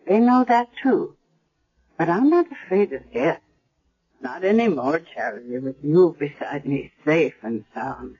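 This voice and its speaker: An actress playing a blind, dying elderly grandmother in a hospital bed, speaking softly and haltingly in a 1970s radio drama. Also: low voice, animated, breathy edge, heavily acted. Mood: tender. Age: older. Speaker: hospital patient. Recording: dull lo-fi vintage radio recording.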